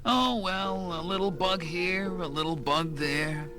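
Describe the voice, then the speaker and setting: A man's voice: high-pitched, slow, over music. A man playing a fussy, self-satisfied eccentric inventor villain in a science fiction audio drama, prim and theatrical. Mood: self-justifying.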